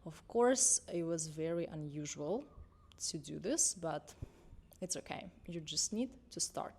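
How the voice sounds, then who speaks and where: Low-voiced woman. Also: a little mellow, a little hiss or hum. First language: Russian. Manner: conversational, self-correcting, reassuring. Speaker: confidence and mindset coach. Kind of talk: unscripted vlog talk to camera.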